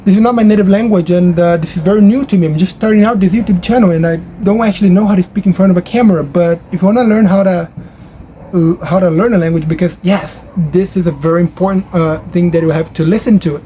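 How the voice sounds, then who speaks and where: A man's voice: quick pace, warm tone, poor audio. A man whose first language is Portuguese, talking casually to camera from his bedroom in a rambling way with many fillers and restarts. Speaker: language-learning YouTuber. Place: his bedroom at home, near a highway. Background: traffic noise from a nearby highway.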